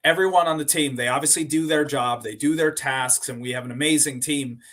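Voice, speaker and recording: A man from Sweden speaks as a guest on a podcast, sharing stories about his life in a loose, conversational way. He backs up and repeats himself, and he fills his pauses with "uh" and "you know." His pitch sits in a typical middle range. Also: fairly bright tone. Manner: rambling, repeats and restarts words, many fillers. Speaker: podcast guest, company co-founder. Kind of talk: casual interview storytelling.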